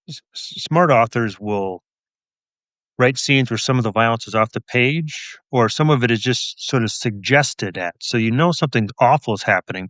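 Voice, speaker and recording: A man's voice: mid-range voice, a bit bright, no echo. A middle-aged man, a police officer and author, answering casually as an interview guest in a thoughtful, wry, self-deprecating way.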